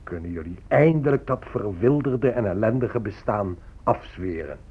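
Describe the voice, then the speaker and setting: An actor playing a condescending, bigoted American government negotiator in a radio drama, with a pompous, patronising manner. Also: male voice, on the low side, expressive, moderate pace, husky, lo-fi sound.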